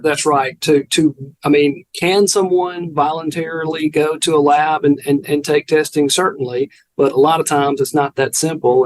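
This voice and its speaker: Southern man explaining law, halting. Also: medium pitch, rough recording. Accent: Southern American. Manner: halting, repeats words as he explains. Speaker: family law attorney, guest. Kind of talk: explaining legal procedure in conversation.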